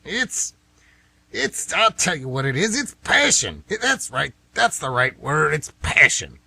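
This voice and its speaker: Man acting a husky, grumbling older baseball diehard. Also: a little high, very animated, measured pace, sharp tone, full character voice. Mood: insistent. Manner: folksy, defensive, exclamatory. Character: stubborn, grumbling retired man of fifty-six, aggrieved baseball fan. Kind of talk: amateur radio theatre acting.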